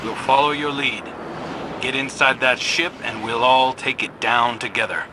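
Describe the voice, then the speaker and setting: A man's voice: medium pitch, steady tone, moderate pace, background noise, close to an everyday voice. A man voicing a determined, coolly confident soldier in a video game, giving terse, commanding orders. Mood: focused, commanding. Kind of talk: video game cutscene acting.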